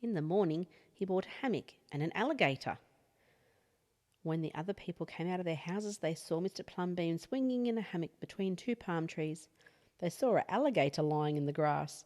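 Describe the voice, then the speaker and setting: Low-pitched woman reading a children's story. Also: lively intonation, moderate pace, darkish tone. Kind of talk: expressive read-aloud bedtime story narration.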